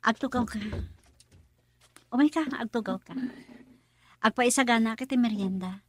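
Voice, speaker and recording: Woman acting a young student, high husky voice. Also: normal speed, close to an everyday voice. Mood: polite, surprised. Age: young adult. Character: young female student, heartbroken, respectful to elders. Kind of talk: Filipino radio drama acting.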